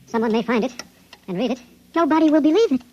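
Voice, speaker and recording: A man's voice: very high voice, expressive, fast talker, mellow. A man playing an anxious five-inch-tall shrunken man in a 1950s radio drama, urgent and clipped, his voice artificially raised to sound miniature. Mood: anxious, hushed urgency. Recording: dull, noisy 1950s radio recording.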